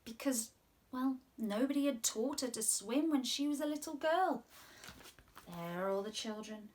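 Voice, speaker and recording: A British woman in her twenties hosting children's story time, speaking in an animated, sing-song way with exaggerated expression. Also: on the high side, measured pace, a little hiss or hum. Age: 20s. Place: small room at home, indoors.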